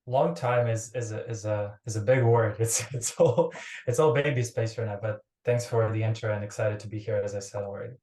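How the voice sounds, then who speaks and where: A young man speaks as a founder on a podcast. His voice is low-set and flat, and the call audio is roomy and echoey. He rambles, doubling back over his words as he goes. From Ukraine. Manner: rambling, restarts phrases, quick asides. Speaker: startup founder guest. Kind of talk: casual podcast interview. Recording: roomy echoey remote recording.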